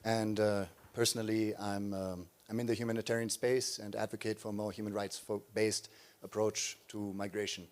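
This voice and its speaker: A man's voice: fairly low pitch, flat delivery, moderate pace. A young man from the audience asking a structured, earnest question.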